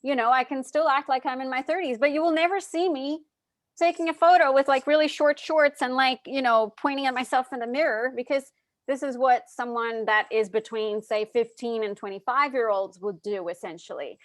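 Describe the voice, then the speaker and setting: Woman in her 30s giving animated advice, kinda high voice, dry sound. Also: brisk, no room sound. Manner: animated, chatty advice-giving with many fillers. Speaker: guest, dietitian and hypnotherapist. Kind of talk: casual interview chat.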